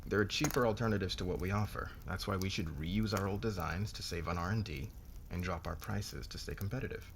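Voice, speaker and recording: A man playing a haughty, domineering businessman boss in an intimate ASMR roleplay, speaking in a measured, condescending way. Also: low-pitched, creaky, noisy background. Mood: businesslike.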